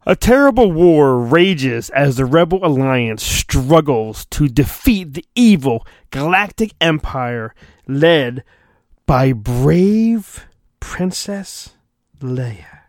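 A man's voice: fairly high pitch, big pitch swings, slow, darkish tone, no echo, studio quality. A man hosting a podcast, giving a mock-dramatic storybook reading with theatrical, self-mocking asides. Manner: theatrical, self-mocking, often breaks off to mutter. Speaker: podcast host and reader.

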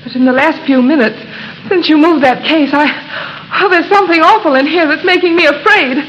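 An actress playing an earnest young daughter, engaged to be married, growing afraid, in a 1930s radio drama. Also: female voice, high-pitched, fairly quick, fairly bright tone, slight background noise. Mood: anxious. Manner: earnest and anxious. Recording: muffled old radio broadcast.

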